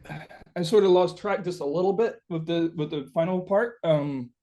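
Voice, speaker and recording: A man being interviewed as a musician, answering casually and haltingly, thinking aloud and often saying "like". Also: on the high side, warm tone.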